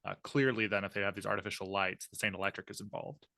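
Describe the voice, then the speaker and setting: A man's voice: on the low side, fairly even intonation, fast talker, vocal fry, bright, forceful tone. A man casually describing his character's plan as a player in a tabletop roleplaying game.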